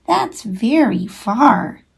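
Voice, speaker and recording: A woman's voice: fairly high pitch, very animated, very slow, vocal fry, husky, voice pushed up, exaggerated voice, rough recording. A woman voicing a small young male hare from a children's storybook, childlike, eager and earnest. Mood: musing, wistful.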